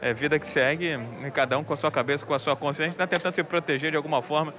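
Guy reporting live outside, kinda high voice, lots of "né" fillers, crowd chatter behind. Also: steady tone, quick pace. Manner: chatty, many fillers, addresses anchor by name. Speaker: field reporter. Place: outdoors at a crowded public event. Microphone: handheld mic, wearing a face mask. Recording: outdoor live broadcast with crowd noise. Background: crowd murmur.

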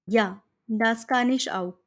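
Polite hopeful young job hunter, indian-accented woman reading her part, slow careful words, kinda high voice. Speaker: German teacher. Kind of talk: scripted dialogue read for learners.

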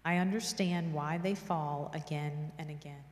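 Slow, solemn woman reading in echoing church. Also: deep voice, fairly even intonation, a little mellow. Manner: measured, reverent. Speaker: reader of a church devotional. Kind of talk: solemn scripted devotional reading and prayer. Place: large church interior, at a lectern. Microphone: microphone on lectern stand. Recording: echoey church hall with long reverb.

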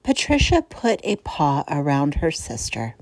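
A woman reading a children's picture book aloud as storyteller, with dramatic, deliberate emphasis. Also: low-pitched, wildly swooping pitch, darkish tone, no echo, rough recording.

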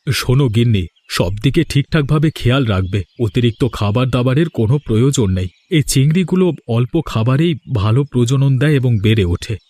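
A man's voice: mid-range voice, lively intonation, fairly quick, warm tone, no echo, lightly acted. A man voicing a worried, humble village husband in a cartoon, earnest and questioning, with music underneath. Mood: practical. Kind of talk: Bengali cartoon dubbing.

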